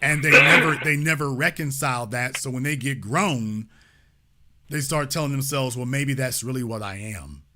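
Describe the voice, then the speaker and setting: Bright-voiced lecturing male host. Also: mid-range voice, moderate pace, slight background noise. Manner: emphatic, lecturing. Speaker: call-in show host. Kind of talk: talk radio commentary.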